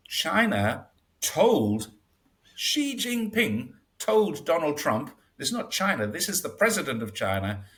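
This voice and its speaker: A man speaks as a guest in a casual interview, and his pitch swings widely. He is wry and acts out quotes as he goes, with a short laugh here and there. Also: a bit bright. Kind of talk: casual conversational commentary.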